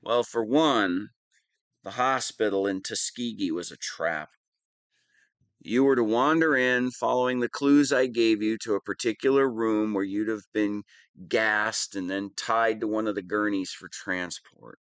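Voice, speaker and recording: A man playing a whiny, hapless, soft-hearted wannabe super-villain in a scripted audio roleplay, self-pitying and prone to dramatic swings. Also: lowish voice, a little slow, smooth, no room sound. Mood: sheepish explaining. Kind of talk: scripted one-sided audio roleplay monologue.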